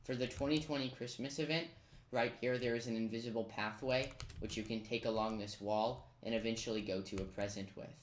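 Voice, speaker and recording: A chatty commentator talks through a video game guide in a casual, self-deprecating way, as if thinking aloud. The voice sits at a middle pitch. It is recorded in an echoey room with faint noise and keyboard clicking behind it. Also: steady tone, brisk. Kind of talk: casual gameplay tutorial commentary. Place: indoors in a roomy-sounding room at a computer. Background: keyboard typing and clicks.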